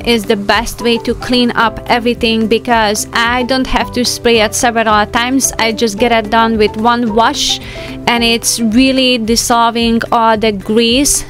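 A woman in her thirties narrating a homemaking vlog in a relaxed, chatty voice-over with fillers and light laughs. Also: a little high. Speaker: homemaking vlogger.

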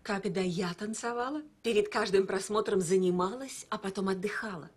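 A woman's voice: mid-range voice, normal speed, a little hiss or hum, natural voice. A woman voicing a stern but motherly older ballet mentor, firm and restrained, in a dubbed cartoon. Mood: stern, hurt. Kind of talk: dubbed animated film dialogue.

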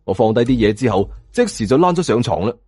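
A man's voice: mid-range voice, big pitch swings, fast talker, dark tone, husky, no room sound, faint music behind. A man with a Hong Kong Cantonese accent narrates a story in colloquial first person, acting out the dialogue as he goes. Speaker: audiobook narrator.

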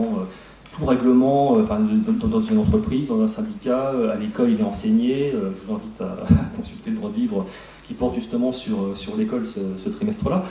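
A middle-aged man, a historian, speaking on a debate panel in a halting way, with many fillers and restarts. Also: mid-range voice, dark tone, slight background noise. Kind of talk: spontaneous panel talk. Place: conference room, seated at a panel table. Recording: echoey room, mic far off.

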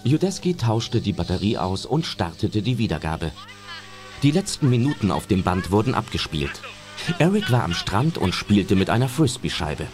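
A man's voice: fairly low pitch, sing-song, normal speed, music in the background. A man narrating a scripted German audio drama as its storyteller.

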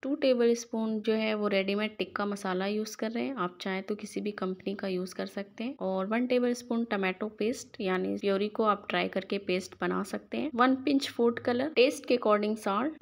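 Gravelly-voiced woman narrating a recipe. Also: medium pitch. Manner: friendly step-by-step instructions, many English words. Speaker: cooking channel host.

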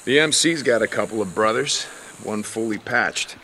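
An American actor playing a gruff, wry outlaw biker in a video game, with halting, sardonic delivery. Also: male voice, on the low side, pushed and bright, slight background noise, rough recording. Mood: dry teasing. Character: gruff, wry outlaw biker, adult man, guarded but teasing. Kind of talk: naturalistic cinematic game acting.

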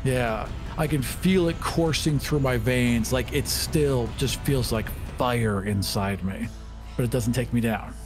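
A man with a mid-pitched voice plays a tabletop role-playing game. He talks casually, describing his character's moves, with faint music behind him. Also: unhurried. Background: music under the voice.

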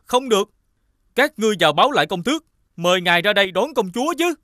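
Lively young cartoon prince. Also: male voice, sits high, fast, dry recording, exaggerated voice. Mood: polite, firm. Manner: earnest, expressive. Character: earnest young prince posing as a hunter. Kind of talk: Vietnamese animated fairy tale dubbing.

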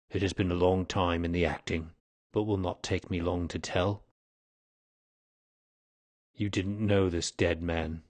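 Man playing a weary, dying old man, low-pitched. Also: dry recording. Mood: weary, resigned, then bitter. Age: older. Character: weary, dying old man, confessed former highway robber.

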